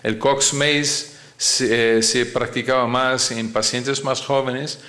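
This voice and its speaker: A man who is not a native Spanish speaker gives a medical lecture, often halting as he searches for words. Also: mid-range voice, steady tone, bright, forceful tone. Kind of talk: conference lecture.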